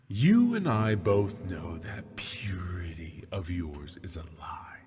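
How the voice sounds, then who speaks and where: A man voicing a smug, cruel imprisoned male demon in an ASMR roleplay, talking in a mocking, breathy half-whisper. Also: low-pitched, a little mellow, in a large hall, heavily acted. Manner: mocking, slow and seductive.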